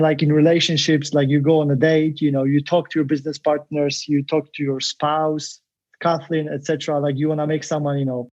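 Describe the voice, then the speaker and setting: Halting Polish-accented host, dull lo-fi sound. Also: male voice, fairly high pitch, darkish tone. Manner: halting, many restarts and fillers. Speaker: podcast host. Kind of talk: casual interview.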